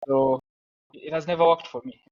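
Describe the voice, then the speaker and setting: A young man from Uganda, a film director, talking casually and haltingly with many restarts on a headset during a video call. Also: a little high, dry recording. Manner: relaxed, halting, self-deprecating with restarts. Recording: dry, dull lo-fi call audio.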